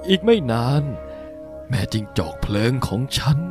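A man's voice: mid-range voice, very animated, moderate pace, darkish tone, faint music behind, natural voice. A man voicing a haughty, scheming ice-bird demon aristocrat in a fan-dubbed cartoon, theatrical and condescending. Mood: sly, condescending. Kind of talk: Thai fan-dub cartoon acting.

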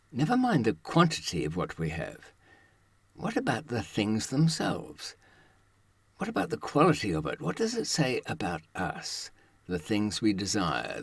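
An older man in his seventies delivers a reflective, scripted monologue in a low, husky voice. He speaks deliberately and dramatically, pausing often between phrases. The sound is close and dry. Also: no room sound. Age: 70s. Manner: dramatic, deliberate delivery with pauses and rhetorical questions. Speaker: presenter of his own talk series.

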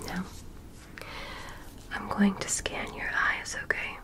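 A young woman playing a calm, curious female alien medical examiner in an ASMR roleplay, in a soft, breathy whisper with a gentle clinical manner. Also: close to an everyday voice. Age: young adult. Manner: slow, gentle, clinical, politely instructing. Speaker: ASMR performer. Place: small bedroom set, indoors. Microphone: close microphone.

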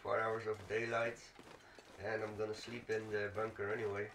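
A man's voice: fairly low pitch, monotone, normal speed, fairly bright tone. A man giving casual, rambling gameplay commentary, thinking aloud as he plays. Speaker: let's play gamer. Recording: roomy, distant mic, faint noise.

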